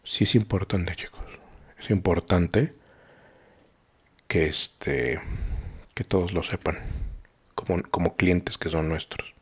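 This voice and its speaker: A man's voice: sits low, fairly even intonation, takes it slowly, long pauses, slightly rough. A middle-aged man with a Mexican accent, teaching art on a live stream in a rambling, chatty way full of fillers and restarts, talking straight to his students. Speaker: art instructor. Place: small home studio.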